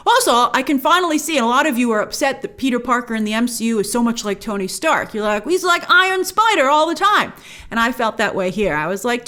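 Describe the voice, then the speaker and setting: A woman with a fairly high voice delivers an opinionated review. Her pitch swings widely as she talks. She is emphatic and informal, speaking as a show host in a conversational style. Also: fairly bright tone.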